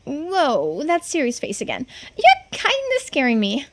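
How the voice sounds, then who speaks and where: A woman voicing an excitable, trusting teenage boy in a video game, casual and full of exclamations. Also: sits high, measured pace, heavily acted. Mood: surprised, eager. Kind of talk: voiced visual novel playthrough.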